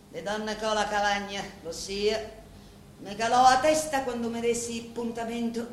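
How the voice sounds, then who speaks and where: An actress playing a grave Sicilian woman confiding in a mafia boss, in a slow, deliberate theatrical monologue with a Sicilian accent. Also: a little high, a bit bright. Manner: slow, deliberate, brooding storytelling. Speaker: stage actress in a radio drama. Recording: roomy echo, noisy background.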